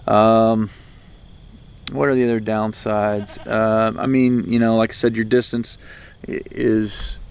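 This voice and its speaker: Low, gravelly American man talking casually. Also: flat delivery, takes it slowly. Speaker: video host, prepper reviewer. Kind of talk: casual explanatory product talk to camera.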